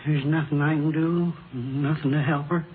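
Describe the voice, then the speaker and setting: A man's voice: fairly high pitch, steady tone, close to an everyday voice. Man playing a grieving old widower, thin radio sound. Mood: distressed, pleading. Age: older. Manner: halting, plaintive, broken up. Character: grieving elderly widower, dazed, tired and halting. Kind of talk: 1940s-50s radio drama acting. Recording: noisy, phone-like old radio recording.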